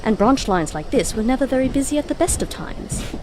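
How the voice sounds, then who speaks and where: An eccentric, brainy time traveller, played by a woman, speaking in a high, swooping voice. She is breezy and whimsical, lecturing like an audio drama heroine, with some background noise underneath. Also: expressive, brisk, darkish tone, close to an everyday voice. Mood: explaining. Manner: whimsical, chatty, lecturing, quaint turns of phrase.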